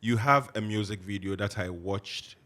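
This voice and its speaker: A man's voice: on the low side, moderate pace, a bit bright. A man co-hosting a podcast, asking questions in an eager way and leaning on "you know.". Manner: enthusiastic, repeats "you know" and "yeah". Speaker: podcast co-host. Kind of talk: casual podcast interviewing.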